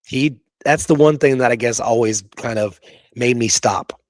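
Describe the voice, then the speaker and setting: A man's voice: medium pitch, moderate pace, dry recording, studio quality. A man co-hosting a history talk show, reading out quoted passages and adding informal asides of his own. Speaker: podcast co-host.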